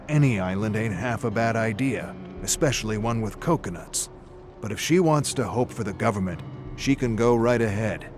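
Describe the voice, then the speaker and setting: Low-voiced grizzled war veteran played by a man, world-weary. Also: close to an everyday voice. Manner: folksy tough-guy slang, world-weary. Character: grizzled, cynical older male war veteran. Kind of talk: dramatic scripted story narration with character voice. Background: loud music.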